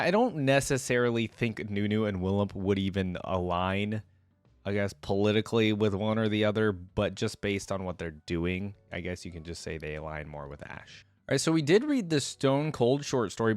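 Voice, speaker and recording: A man with a fairly low voice hosts a casual reaction video. He reads wiki-style text aloud and slips in easygoing comments of his own. The sound is dry and close to the mic. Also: no room sound. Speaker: host of a game lore reaction channel.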